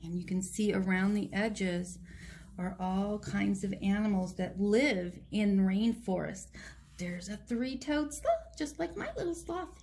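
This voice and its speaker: A woman reading a picture book aloud to children, with gentle, simple explanations along the way. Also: medium pitch, unhurried, slight background noise. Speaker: children's story reader.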